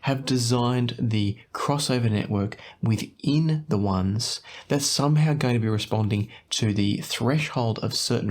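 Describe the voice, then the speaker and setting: A man's voice: medium pitch, a little mellow. A man presenting an explanatory product review as the host of an audio channel.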